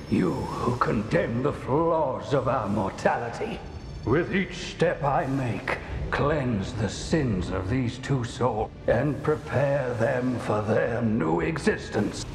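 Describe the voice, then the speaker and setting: An actor playing a solemn high priest in a fantasy game, gravely declaiming a ritual incantation. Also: male voice, noisy background. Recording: echoing space under loud music.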